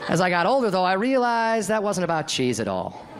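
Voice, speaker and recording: A man in his thirties doing a deadpan stand-up routine on a comedy club stage, acting out the voices of the people in his stories, into a handheld mic. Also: high-pitched, brisk. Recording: live club recording with crowd laughter. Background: audience laughter.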